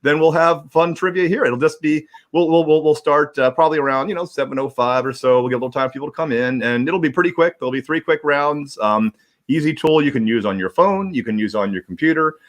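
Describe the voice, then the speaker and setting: A man's voice: on the high side, quick pace. A man hosting a livestream in casual, rambling talk, often repeating words as he goes. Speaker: host of a livestream. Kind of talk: casual chat.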